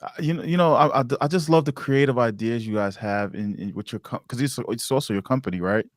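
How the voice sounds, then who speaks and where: A man's voice: mid-range voice, fast, no room sound, studio quality. An American man hosting a podcast interview, chatting casually in an enthusiastic, colloquial way. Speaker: podcast host.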